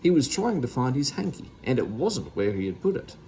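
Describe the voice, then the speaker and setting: A man's voice: medium pitch, big pitch swings, fairly quick, dark tone, low-quality recording. A man reading a children's storybook aloud as narrator. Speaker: story reader.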